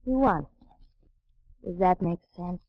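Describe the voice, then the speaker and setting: An actress playing a proud, intense young female circus trapeze artist in forceful, theatrical 1940s radio style. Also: fairly low pitch, takes it slowly. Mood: defiant. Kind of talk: 1940s radio drama acting. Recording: dull lo-fi 1940s radio broadcast.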